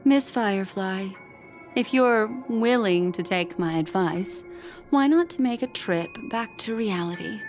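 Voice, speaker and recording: A poised game envoy woman who needles with knowing condescension. Her theatrical lines come unhurried, with music clearly playing behind her. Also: medium pitch, lively intonation. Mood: taunting. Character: poised, needling female envoy and exposer of lies. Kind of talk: video game voice acting.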